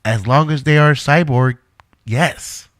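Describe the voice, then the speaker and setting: A man's voice: mid-range voice, a little slow, fairly bright tone, dry recording, studio quality, close to an everyday voice. A man playing an earnest scientist who defends his invention in an audio drama. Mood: defensive.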